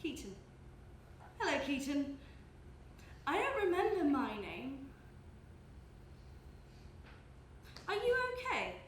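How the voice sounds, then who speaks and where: An actress as a high-voiced, dazed, lost young woman. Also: wildly swooping pitch, full character voice. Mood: gentle, concerned. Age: young adult. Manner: hesitant, halting, repeats phrases. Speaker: student stage actor. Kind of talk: live stage play acting. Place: indoor theatre stage, live performance. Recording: roomy live stage recording, background noise.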